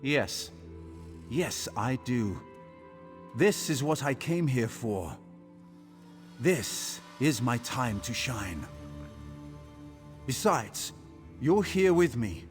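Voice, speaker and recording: A man playing an earnest young adventurer eager to prove himself in a fantasy video game, speaking deliberately. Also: medium pitch, big pitch swings. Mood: resolute, eager. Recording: long reverb, faint background music.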